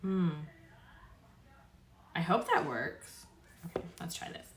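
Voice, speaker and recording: A woman's voice: on the low side, animated, slow pace. A woman hosting a casual read-aloud stream, informal and sweary, stumbling over longer words and dropping in chatty asides. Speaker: host reading aloud to viewers.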